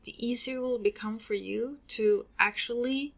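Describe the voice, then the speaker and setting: A woman from Sweden with a Swedish accent, hosting a solo podcast and giving earnest advice, often trailing off into breathy ends. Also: a little high, moderate pace, a bit bright. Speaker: podcast host.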